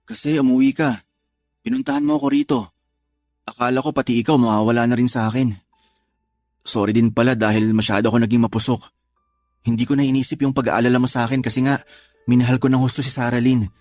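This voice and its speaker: An actor playing a gentle, heartbroken older brother in an audio drama, who speaks softly and sighs as he blames himself. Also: mid-range voice, flat delivery, quick pace, long pauses, dry recording, faint music behind, lightly acted. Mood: sad. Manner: soft-spoken, sighing, self-blaming. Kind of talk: radio drama acting.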